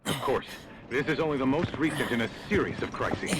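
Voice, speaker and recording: A man playing an earnest, formal news reporter in a game, dramatic in delivery, with clear noise around him. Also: mid-range voice, brisk, natural voice. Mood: grave, emphatic. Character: earnest male news reporter heard amid chaos. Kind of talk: live news report, scripted game acting. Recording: clear vehicle and outdoor noise.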